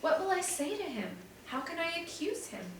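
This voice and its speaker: A woman's voice: very high voice, big pitch swings, normal speed, slight background noise, voice pushed up. A woman reading the part of a kindly older woman innkeeper who is hurt and bewildered. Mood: pleading. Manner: soft and pleading. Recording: very echoey room, distant mic.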